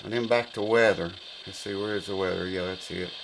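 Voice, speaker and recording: A middle-aged man giving a casual hands-on review to camera, informal and unhurried, thinking aloud as he goes. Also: sits low, steady tone, creaky, very noisy. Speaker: product reviewer. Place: small cluttered indoor workshop room.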